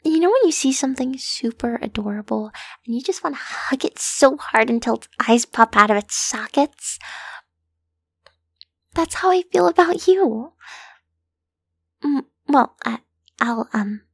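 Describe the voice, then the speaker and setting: A woman voicing a lovesick, possessive yandere kidnapper in an intimate roleplay audio, doting and coaxing in a breathy voice that often sinks into whispers. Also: on the high side, animated, no room sound, clean studio sound, full character voice. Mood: gushing, playful. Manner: doting, coaxing, slow and lingering.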